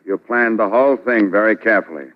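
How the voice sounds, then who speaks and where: A man playing a blustering, stubborn police commissioner, gruff and accusatory, in 1940s radio drama style. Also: on the low side, fast, lightly acted. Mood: accusing. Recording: dull old radio recording.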